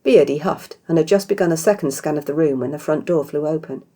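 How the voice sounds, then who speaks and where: A woman with a British accent, reading a fantasy story aloud as an expressive narrator. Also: sits low, fast. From Britain. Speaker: author reading her own story.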